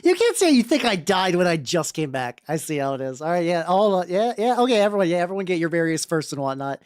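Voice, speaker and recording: Animated livestreamer with a close, dry sound. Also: medium pitch, quick pace, no room sound. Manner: rambling, repeats phrases, slangy and sweary. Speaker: livestream host. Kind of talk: casual livestream chat. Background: background music.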